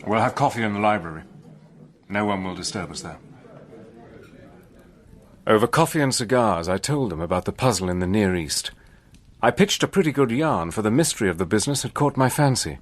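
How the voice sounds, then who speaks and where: An actor playing a steady army major in a radio drama, measured and earnest, also narrating. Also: male voice, lowish voice, slight background noise.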